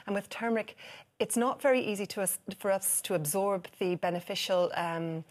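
A woman in her thirties with an Irish accent, a nutritionist explaining kitchen spices on a TV morning show, brisk and upbeat. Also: medium pitch. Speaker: nutritionist guest. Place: TV studio, at a kitchen counter. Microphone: lapel mic.

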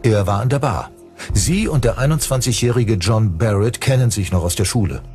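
A man's voice: lowish voice, moderate pace, a little mellow. A man reading a scripted true-crime documentary narration. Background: music behind the voice.

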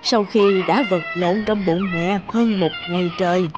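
A woman voicing a gentle, devoted grandmother who tells a family tale in a measured, tender cartoon storytelling voice. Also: lowish voice, dull audio, over music, close to an everyday voice. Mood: gentle, nostalgic. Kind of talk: animated storytelling.

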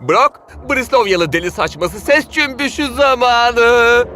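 A man's voice: very high-pitched, unhurried, sharp tone, exaggerated voice. An actor playing a smug, melodramatic music-loving man in a cartoon, with a theatrical manner. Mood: dismayed, dramatic.

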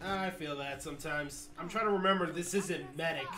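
A man's voice: on the high side, moderate pace. A man playing a team shooter with a friend, making wry, complaining remarks about the game. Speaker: co-op gaming partner. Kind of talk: casual gaming chat.

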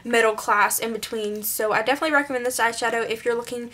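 A woman's voice: fairly high pitch, fairly even intonation, fast, a bit bright. A woman reviewing her favorite beauty products in a chatty, rambling way while losing her voice and congested, recorded on a close camera in a small bedroom. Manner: chatty, rambling, filler-heavy. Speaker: beauty vlogger. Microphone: camera mic at close range.

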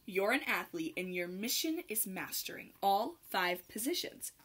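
A young adult woman reading a rhyming picture book aloud to children in an expressive, sing-song manner with clear phrasing. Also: medium pitch, normal speed. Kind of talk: children's read-aloud, rhymed storytelling.

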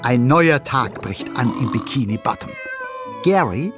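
A man playing a cheerful storyteller, narrating a German children's cartoon audio drama with animated delivery. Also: mid-range voice, unhurried, over music, close to an everyday voice. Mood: cheerful, amused.

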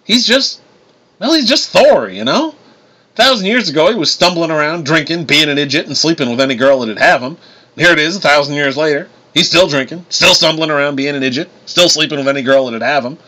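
A man's voice: a little high, expressive, a little fast, pushed and bright, pitched above the performer's own voice. A man voicing a folksy, protective older-brother god who races stock cars, with a drawling Southern US accent, in an audiobook story. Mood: earnest.

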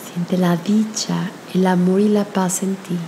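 Slow, soothing woman guiding meditation. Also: fairly low pitch, little pitch movement, a little hiss or hum, rough recording. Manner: soothing, gentle, with long pauses. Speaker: meditation guide. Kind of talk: guided spiritual meditation.

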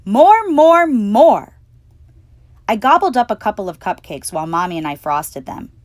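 A woman hosting storytime, reading a children's picture book aloud with expressive, clearly phrased delivery. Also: fairly low pitch, fast, no room sound. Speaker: storytime reader and host.

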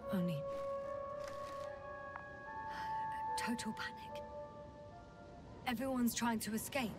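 An actress playing a tense, shaken astronaut exploring a planet in a video game, speaking in a hushed, halting, measured way. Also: female voice, on the low side, far from the mic. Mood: horrified, hushed. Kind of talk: dramatic game dialogue.

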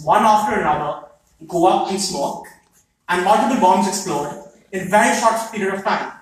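A young man with an Indian accent speaks in an articulate, impassioned way, his voice sitting a bit high. He is recorded by a phone far back in a large hall. The room adds heavy echo and a light hiss. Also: fairly bright tone. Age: young adult. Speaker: student speaker at public comment. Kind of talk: impassioned public statement. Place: large meeting hall, heard from the audience.

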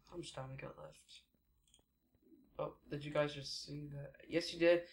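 Chatty teen guy narrating his game, kinda high voice, echoey room w noise behind. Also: fairly even intonation, takes it slowly, vocal fry. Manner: rambling, chatty, frequent self-corrections. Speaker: gaming YouTuber. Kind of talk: casual Let's Play commentary.

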